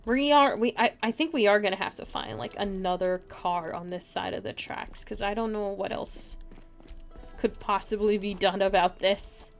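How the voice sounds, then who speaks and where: A woman's voice: mid-range voice, moderate pace, soft background music. A woman streaming a video game live with casual, chatty commentary, often muttering to herself and to game characters.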